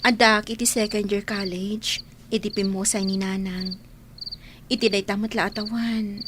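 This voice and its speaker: A woman's voice: mid-range voice, steady tone, normal speed, background noise, natural voice. A woman playing a modest, forgiving young housemaid in an Ilocano radio drama, speaking politely and earnestly with an Ilocano accent. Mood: earnest, sorrowful. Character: modest, forgiving young orphaned housemaid, former college student.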